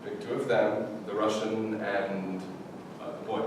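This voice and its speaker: An actor in a staged reading playing a bossy, terse Nazi officer. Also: male voice, level tone, measured pace, close to an everyday voice. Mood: domineering. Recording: very echoey room, distant mic, noisy rumble.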